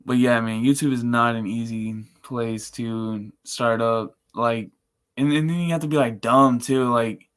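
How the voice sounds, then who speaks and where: A man with a monotone, chill voice, rambling casually and slangily as a live streamer. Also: medium pitch, unhurried, clean tone. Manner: laid-back, chill. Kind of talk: casual livestream chat.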